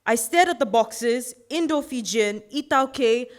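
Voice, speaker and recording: A woman in her twenties from Fiji giving an earnest, measured personal speech in a competition. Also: fairly high pitch, expressive. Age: 20s. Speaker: youth orator.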